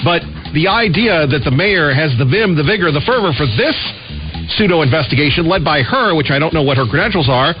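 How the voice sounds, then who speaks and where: A man with a fairly high voice reads radio commentary over a clear music bed. His delivery is emphatic and indignant, full of rhetorical punch. The broadcast sounds dull. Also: animated, fairly quick. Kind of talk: scripted political commentary.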